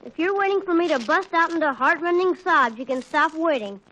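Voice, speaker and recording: A woman playing a cocky, teasing schoolboy kid brother in 1940s radio comedy style, with smug, deadpan needling. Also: high-pitched, fairly quick, slight background noise. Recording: muffled, band-limited 1940s radio recording.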